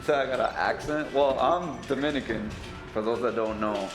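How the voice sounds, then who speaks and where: A man's voice: medium pitch, normal speed. A young man with a New York accent, chatting in a laid-back, friendly way as a stream co-host. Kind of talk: casual stream chat. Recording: echoey room with music.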